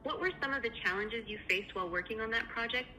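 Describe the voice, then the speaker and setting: A woman with a very bright, forward voice, conducting a screening interview. She speaks quickly in a polite, polished, affirming way. There is faint noise on the phone call. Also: on the high side. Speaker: AI recruiter interviewer.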